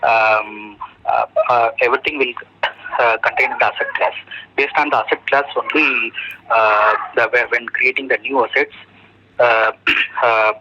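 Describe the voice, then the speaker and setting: A man with an Indian accent answering technical questions as a job candidate over the telephone, halting often and searching for words. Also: medium pitch, bright, forceful tone. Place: telephone call. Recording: muffled, dull telephone interview recording.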